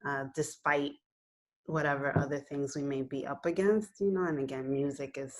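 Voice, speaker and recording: A woman's voice: rumbling low, steady tone, normal speed. A woman in her thirties from Puerto Rico, raised in New York City, talking thoughtfully about her film on a video call from her living room, with many "you know"s and "um"s. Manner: gestures with her hands while talking. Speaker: filmmaker being interviewed. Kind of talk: reflective casual interview answers. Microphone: laptop webcam on a video call.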